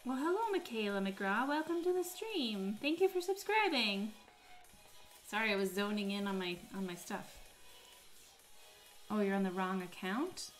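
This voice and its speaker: A woman's voice: medium pitch, animated, normal speed, soft background music, low-quality recording. A woman casually chatting with her live-stream audience while crafting, playful and teasing as she reads chat. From United States.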